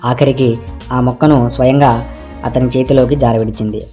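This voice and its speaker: Mellow male storybook narrator over music. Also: mid-range voice, fairly even intonation, normal speed. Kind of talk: scripted storybook narration for animated moral tale. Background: background music.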